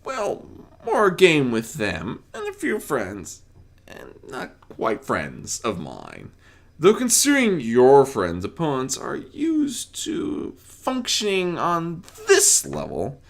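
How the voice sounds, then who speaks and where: A man acts out a playful spirit of chaos in a fan audio story. His pitch swings wildly as he toys with his words, stretching phrases like a showy, teasing host. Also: wildly swooping pitch, creaky, heavily acted. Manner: theatrical, teasing, drawn-out phrasing.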